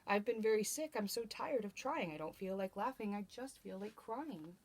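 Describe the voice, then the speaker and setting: A woman's voice: medium pitch, expressive, normal speed. Woman as a frail, weary little girl. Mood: sad, tired. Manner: quiet, sorrowful.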